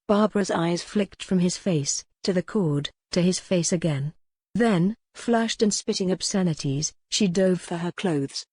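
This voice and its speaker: Low-voiced woman narrating an audiobook. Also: mellow, breathy edge, no echo. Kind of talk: scripted dramatic narration of a historical romance novel.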